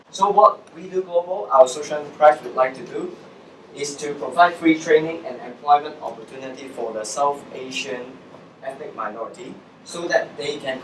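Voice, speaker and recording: A man's voice: on the high side, fairly bright tone. A young man with a Hong Kong accent giving an explanatory presentation to students as a social entrepreneur. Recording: echoey room, distant mic, background noise.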